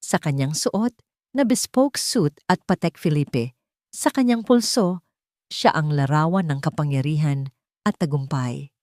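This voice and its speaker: Filipina narrator reading drama story, slightly low voice, deliberate short beats, no room echo. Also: female voice, a little mellow, studio quality. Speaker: audiobook narrator.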